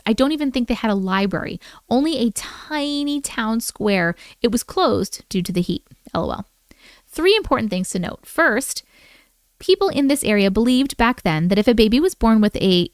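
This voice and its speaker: A woman hosting a live stream, reading listener stories aloud in a casual, animated way with chatty asides. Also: on the high side, no room sound, clean studio sound. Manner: animated, informal, interjects reactions.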